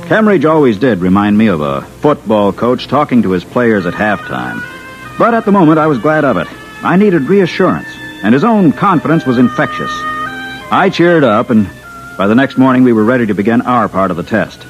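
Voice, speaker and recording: A man's voice: mid-range voice, sing-song, normal speed, natural voice, rough recording. An actor playing a wry, wary engineer who narrates his own adventure in an old-time radio drama. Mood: wry narration. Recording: vintage radio with music underneath.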